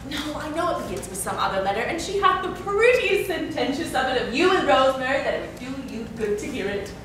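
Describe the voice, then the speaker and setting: Woman acting a high-voiced old nurse, very echoey. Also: expressive, fast talker. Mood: chatty, teasing. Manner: rambling, theatrical, exclamatory. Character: chatty teasing old female nurse, complaining and fond. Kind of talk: classical stage acting of Shakespeare. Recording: echoey room, distant mic, faint noise.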